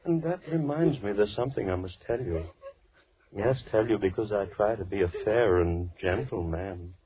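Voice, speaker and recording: An actor playing a menacing, deranged villain in 1940s radio horror, theatrical and volatile, shifting between coaxing and anger. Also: male voice, fairly low pitch, normal speed, crowd noise, lightly acted. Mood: coaxing menace. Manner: theatrical, gloating, swinging from coaxing to angry. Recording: muffled old radio recording, crackly background.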